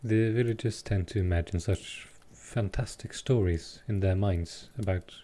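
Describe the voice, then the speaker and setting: A man voicing a gentle, courtly vampire lord in a roleplay audio drama, speaking softly and formally with halting care. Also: deep voice, a little hiss or hum. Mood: courtly, reassuring. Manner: soft, slow, formal, halting. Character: gentle old-world male vampire lord, protective and courtly.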